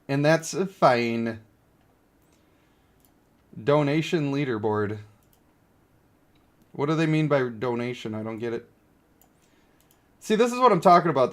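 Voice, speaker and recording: Higher-pitched man streaming, blunt and opinionated. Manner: opinionated, blunt, exasperated ranting with some swearing. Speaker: game streamer. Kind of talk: casual livestream commentary on a mobile game.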